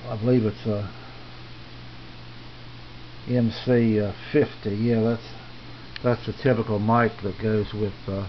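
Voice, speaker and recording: A middle-aged or older man giving a casual, unscripted tour as a hobbyist, speaking in a halting, unhurried, plain way. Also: on the low side, flat delivery. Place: small home radio shack, indoors. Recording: dull lo-fi camera audio, faint noise.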